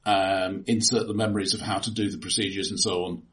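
A man co-hosting a casual film discussion, speaking in a hesitant, halting, analytical way. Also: sits low, flat delivery, a bit bright. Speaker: podcast co-host.

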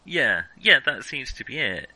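A man's voice: mid-range voice, sing-song, a little slow, sharp tone, no room sound. A man co-hosting a film discussion podcast, speaking casually and opinionatedly, often stopping to rethink and correct himself. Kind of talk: casual film criticism chat.

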